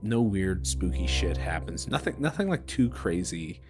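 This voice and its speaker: A man running a tabletop game, narrating casually and haltingly in a conversational way. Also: low-pitched, sing-song. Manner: halting, conversational, peppered with "like" and "kinda". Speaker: game master. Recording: instrumental music bed behind the voice.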